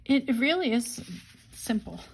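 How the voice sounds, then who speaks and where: Slow, creaky-voiced woman crafting aloud. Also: fairly high pitch, very animated. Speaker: craft tutorial host. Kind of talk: casual craft-along narration, thinking aloud.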